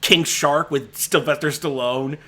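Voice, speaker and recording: A man's voice: on the high side, steady tone, measured pace, fairly bright tone. A man with a voice that is giving out, running through his favorite movies of the year in a casual, rambling stream, full of restarts and fillers. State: voice giving out, slightly hoarse. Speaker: movie critic.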